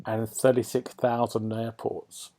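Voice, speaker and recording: A British man with a medium-pitched voice hosts a relaxed gaming chat. He talks in an inquisitive, conversational way, often tagging questions onto the ends of his sentences. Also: unhurried. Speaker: podcast host. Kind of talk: casual chat about video games.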